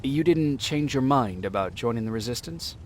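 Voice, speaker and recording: An actor playing an earnest, terse resistance soldier in a video game drama, speaking in short lines. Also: male voice, mid-range voice, animated, moderate pace, natural voice.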